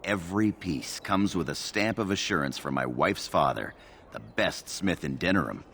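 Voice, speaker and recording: Bright, forceful dwarf merchant. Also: male voice, low-pitched, animated, normal speed, creaky, slight background noise, full character voice. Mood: persuasive. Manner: hawking, persuasive sales patter. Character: salesman-like male dwarf merchant hawking fine steel. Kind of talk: fantasy video game acting.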